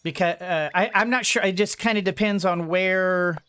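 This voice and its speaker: A woman gently thinking aloud as she plays a farming game for her channel, her throat a little gunky today. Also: fairly low pitch, moderate pace, slight background noise. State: slightly congested throat. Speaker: gaming YouTuber. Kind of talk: let's-play commentary.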